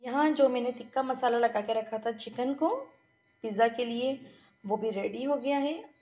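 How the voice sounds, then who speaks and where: A woman, hosting a home cooking tutorial and calmly giving the viewer step-by-step instructions. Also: fairly high pitch, moderate pace, slight background noise. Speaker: cooking channel host. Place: home kitchen.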